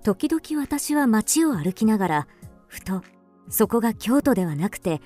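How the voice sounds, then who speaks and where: An actress playing a wistful, introspective first-person storyteller in a literary radio drama. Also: female voice, fairly high pitch, very animated, a little slow, warm tone, faint music behind. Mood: wistful, dreamy. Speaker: actor narrating.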